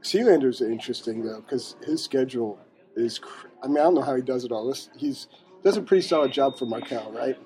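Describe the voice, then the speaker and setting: A man's voice: mid-range voice, expressive, warm tone, soft background music. Chatty man hosting a podcast. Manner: chatty and quick to laugh. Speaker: podcast host. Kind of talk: casual podcast chat. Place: restaurant.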